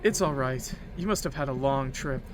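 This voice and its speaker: A man's voice: mid-range voice, normal speed, noisy background, close to an everyday voice. Man voicing a shy, nervous young train attendant. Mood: eager, kind. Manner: hesitant, apologetic, soft-hearted.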